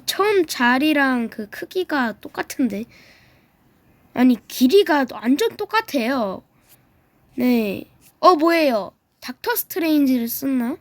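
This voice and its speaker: A woman with a high voice talks her way through a video game at an unhurried pace, chatty and quick to exclaim at what she sees. She is getting over a throat cold. The sound is close and dry, with almost no room around it. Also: lively intonation, no echo. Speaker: gaming YouTuber. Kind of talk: casual game commentary.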